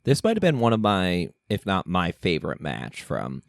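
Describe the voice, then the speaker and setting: A man co-hosting a casual podcast, calmly laying out points one after another. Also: sits low, normal speed, gravelly, no room sound, studio quality. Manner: relaxed, breaks down matches point by point. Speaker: podcast co-host. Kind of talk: casual chat.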